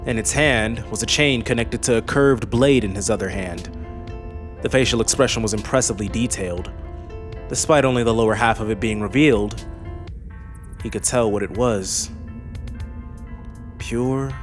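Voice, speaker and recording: A man's voice: lowish voice, moderate pace, pauses often. A man reading scripted fantasy narration as an audiobook narrator. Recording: faint music under the voice.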